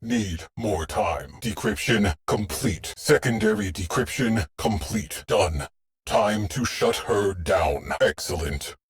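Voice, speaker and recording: A man voicing a terse, dry shipboard AI tactician in a video game, speaking in clipped, deadpan military phrases. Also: fairly low pitch, slow pace. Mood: terse, businesslike. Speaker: mission control voice. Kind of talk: scripted game voice lines.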